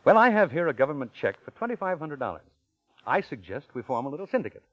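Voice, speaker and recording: A man's voice: on the high side, very animated, fast talker. Suave, swooping genteel con man. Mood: sly, suave. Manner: ornate, courtly, chuckling diction. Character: smooth, genteel male con man posing as professor. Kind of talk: old-time radio acting with first-person narration. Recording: muffled old radio recording, faint music.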